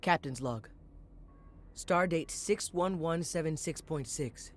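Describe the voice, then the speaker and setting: An actor playing a cocky, excitable teenage alien boy captain, boastful and animated, in an animated video game. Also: fairly high pitch, slow, a little hiss or hum. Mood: grave.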